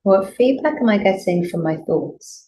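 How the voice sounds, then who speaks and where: A woman teaching yoga nidra, leading a guided meditation in a gentle, soothing, unhurried way with pauses. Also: mid-range voice, animated. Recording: clear background noise, room ambience.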